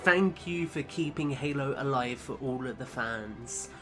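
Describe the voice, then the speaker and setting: A man's voice: medium pitch, unhurried, sharp tone, faint music behind. A man speaking as a show's host, giving an earnest, grateful message to listeners. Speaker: show creator and host. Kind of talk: sincere thank-you message to listeners.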